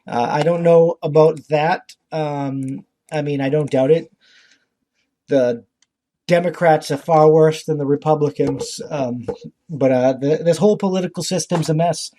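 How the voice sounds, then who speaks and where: A man speaks as a podcast host in a somewhat higher-than-average voice with a relaxed, dark-edged tone. His commentary is informal and political, blunt and full of profanity. He sounds exasperated and indignant as he makes his case. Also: unhurried, a little hiss or hum.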